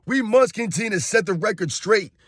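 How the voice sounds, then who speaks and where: A hoarse man in his thirties rants about sports straight to his viewers, emphatic and repetitive. His voice sits fairly high for a man, and the recording is close and dry with no room sound. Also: lively intonation, fairly quick, fairly bright tone, dry recording. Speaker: sports commentary YouTuber. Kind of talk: casual opinion rant to camera.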